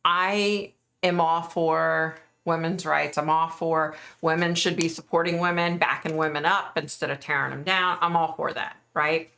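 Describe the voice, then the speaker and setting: A middle-aged woman with a deep voice, hosting a live stream and chatting bluntly with viewers, ranting and opinionated. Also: fairly even intonation, unhurried, vocal fry, pushed and bright. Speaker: psychic livestream host. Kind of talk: casual live chat answering viewers.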